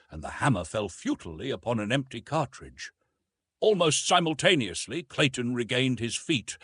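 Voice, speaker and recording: Male audiobook narrator, husky voice, very animated intonation, dramatic scripted storytelling, measured delivery. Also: medium pitch, dry recording, studio quality. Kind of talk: scripted narration of a classic adventure novel.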